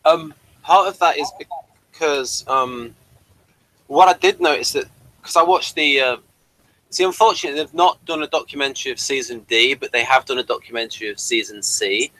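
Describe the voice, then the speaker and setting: A man in a casual fan discussion, co-hosting and sharing trivia in a halting, rambling way with repeated restarts. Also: mid-range voice, bright, forceful tone, no echo, rough recording. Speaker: podcast co-host.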